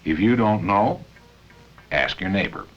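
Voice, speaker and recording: An American man reading scripted narration for a 1970 company training film, with measured, deliberate phrasing and pauses. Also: on the low side, lively intonation, faint music behind. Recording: dull 1970 film soundtrack.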